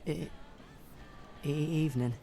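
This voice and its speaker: An actor playing a timid man in a video game who stammers heavily and falters very quietly. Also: fairly high pitch, steady tone, takes it slowly, gravelly, faint music behind. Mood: timid, apologetic.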